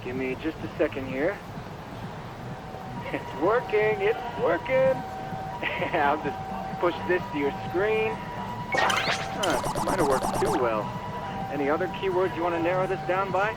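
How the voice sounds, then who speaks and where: A man's voice: sits high, expressive, slow pace, pauses often, over loud music, rough recording. A man playing an eager, self-satisfied tech geek in a video game dub. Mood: pleased. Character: eager, geeky male tech-savvy informant.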